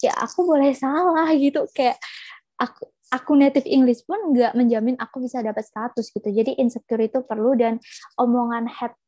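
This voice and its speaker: A woman's voice: fairly high pitch, darkish tone, dry recording. A young adult woman with an Indonesian accent giving her opinions frankly as a guest in a casual chat. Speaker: discussion guest.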